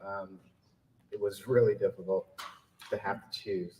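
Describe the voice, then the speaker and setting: A man's voice: sits low, flat delivery, a little slow, warm tone, slight background noise. A man speaking casually and off the cuff as a judge presenting awards at a live event. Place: public library event room, in front of an audience. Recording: echoey live event room.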